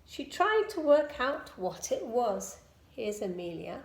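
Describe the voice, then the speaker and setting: A woman reading a children's picture book aloud in an expressive storytelling style. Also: a little high, takes it slowly, gravelly. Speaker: storybook reader. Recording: echoey room.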